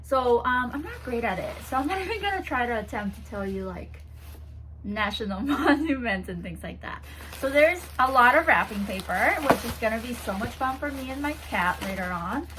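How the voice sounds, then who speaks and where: A woman's voice: fairly high pitch, lively intonation, moderate pace, noisy background. A woman chatting casually to camera as she unboxes a gift box, bubbly and giggly, seated on a bouncy ball at home. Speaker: vlogger unboxing a swap box.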